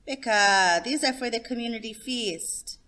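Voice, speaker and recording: Storybook role: patient grandmother, voiced by a woman reader, gently instructing, lifted a little above narration. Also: fairly high pitch, a little slow, pitched above the performer's own voice. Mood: gently firm. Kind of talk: children's storybook character voice.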